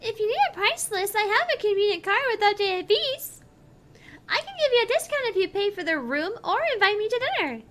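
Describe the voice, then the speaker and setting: Very high, bright, cutesy android girl. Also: big pitch swings, a little fast, heavily acted. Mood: brazen, teasing. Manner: cutesy, forward, teasing.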